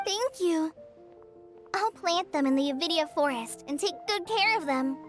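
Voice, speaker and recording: A woman's voice: very high-pitched, lively intonation, normal speed, faint music behind. A woman voicing a timid, tentative teenage girl student in a video game. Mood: grateful.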